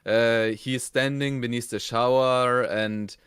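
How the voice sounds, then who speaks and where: A man co-hosting a casual review, explaining things in a halting, conversational way. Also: medium pitch, steady tone, fairly bright tone, clean tone, dry recording, studio quality. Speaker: review show co-host.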